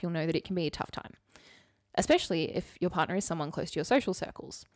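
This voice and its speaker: A woman co-hosting a podcast, chatting casually and summarising articles in an informal, explanatory way with wry asides. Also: lowish voice. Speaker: podcast co-host.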